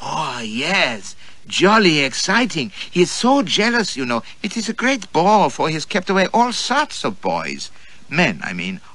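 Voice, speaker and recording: A man's voice: fairly high pitch, wildly swooping pitch, unhurried, bright, forceful tone, pitched above the performer's own voice, full character voice. A man putting on a teasing sing-song teenage girl. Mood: giggly. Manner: sing-song, playful, mock demure. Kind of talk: audiobook character voice.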